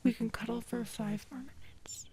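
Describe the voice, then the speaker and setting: A woman voicing an affectionate, playful female alien lover in an intimate audio roleplay, speaking softly and breathily with a teasing manner. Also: fairly high pitch, fairly even intonation, normal speed, lightly acted. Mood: playful, loving. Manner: soft, breathy, teasing whispering.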